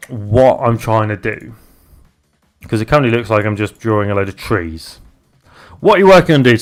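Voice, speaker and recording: A young British man streaming live, chatting casually and haltingly as he reads out viewer messages. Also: mid-range voice. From Britain. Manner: rambling, halting, restarts often while reading viewer chat aloud. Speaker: live streamer and game developer.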